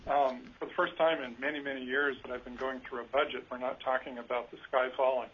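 A soft-spoken man, a school superintendent, giving earnest testimony at a legislative hearing with frequent ums. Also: medium pitch, little pitch movement, quick pace, a little hiss or hum. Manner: earnest, hesitant with fillers. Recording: lo-fi teleconference line.

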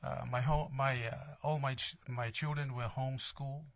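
A man in his sixties with a Tongan accent, introducing himself formally at a hearing in a measured, halting, plain-spoken way. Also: medium pitch, fairly even intonation, vocal fry, fairly bright tone, dry recording. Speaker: appointee to a parole board.

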